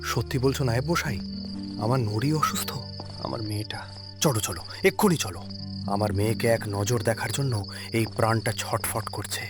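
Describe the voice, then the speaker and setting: A man's voice: lowish voice, normal speed, close to an everyday voice. A man playing an innocent, devoted boatman father in a cartoon story, pleading in distress. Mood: worried. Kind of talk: melodramatic cartoon story acting. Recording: loud music behind the voice.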